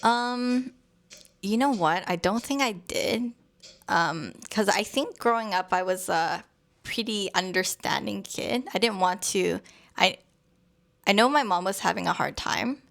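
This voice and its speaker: A young woman whose first language is Cantonese, chatting casually as a co-host and telling stories softly, with many pauses and fillers. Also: medium pitch, vocal fry. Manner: soft, hesitant storytelling with many fillers. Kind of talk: casual chat.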